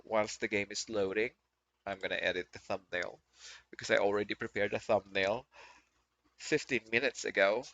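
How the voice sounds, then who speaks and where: A low-pitched man streams a video game, talking in a casual, rambling way. His voice is forward and bright, though the microphone sounds dull and lo-fi. He often repeats and rewords his phrases as he goes. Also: fairly even intonation, moderate pace. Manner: rambling, repeats and rephrases himself. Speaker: livestreamer playing a video game. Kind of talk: casual live stream chat. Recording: muffled lo-fi mic, faint music behind.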